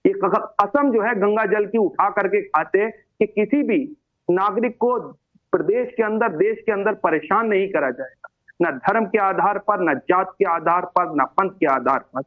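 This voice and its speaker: Through a narrow, phone-like feed, a man with a slightly high voice speaks on a television panel. He is oratorical, stacking parallel phrases to make his points. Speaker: political debate panelist. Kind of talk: rhetorical speech on a TV debate.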